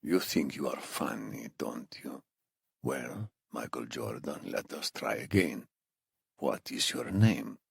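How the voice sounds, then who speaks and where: A man acts out a coldly polite torturer in an audiobook thriller. He is a muscular man with a Middle Eastern accent and a very low voice, speaking softly and deliberately with mock friendliness. The sound is close and dry. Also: expressive, creaky, slightly rough, no room sound, exaggerated voice. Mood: quiet menace.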